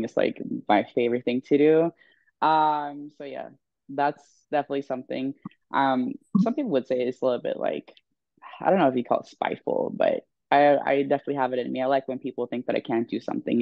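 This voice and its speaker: A woman's voice: a bass voice, gravelly, dry recording, muffled recording. A woman in her twenties from Sweden, a coach speaking as a podcast guest in a candid, chatty way with many ums. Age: 20s. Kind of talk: casual interview chat.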